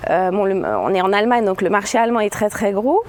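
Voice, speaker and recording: A woman's voice: mid-range voice, fast, slight background noise. A woman on staff guiding a visitor through a new office building, explaining in a hesitant way with many fillers and frequent laughs. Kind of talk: casual spoken tour explanation.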